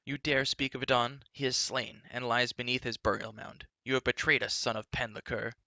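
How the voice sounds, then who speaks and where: A man voicing an earnest, hot-tempered young man who speaks up loudly and accusingly. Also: medium pitch, lively intonation, moderate pace, no echo. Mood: angry. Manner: loud, accusing, indignant.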